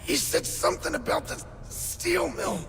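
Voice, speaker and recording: Casting: frightened game thug, very high creaky voice, slangy, defiant, in long reverb. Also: male voice, big pitch swings, a little slow, fairly bright tone, slight background noise, heavily acted. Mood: panicked. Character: scared, defiant male criminal henchman. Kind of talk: video game voice acting. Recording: long reverb, faint music.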